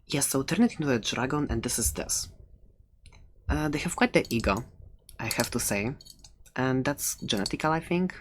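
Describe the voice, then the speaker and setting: A very deep female voice a woman acting as a quirky seller of fantasy creatures in an ASMR roleplay. She chats playfully and hesitantly, close to the mic, with faint background hiss and small clicks. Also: lively intonation, normal speed, pauses often. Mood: playful, chatty. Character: chatty, quirky seller of dragon eggs, fond of dangerous pets. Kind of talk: ASMR roleplay, casual rambling talk.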